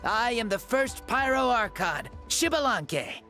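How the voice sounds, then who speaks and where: A man's voice: very high-pitched, very animated, unhurried, sharp tone, full character voice. A man voicing a playful, teasing revived ancient god in a video game. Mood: wry. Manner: teasing, wry. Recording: game audio with faint music.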